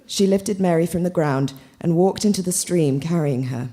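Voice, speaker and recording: Female literary reader, narrating prose, on the low side, warm and dark in tone. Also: moderate pace.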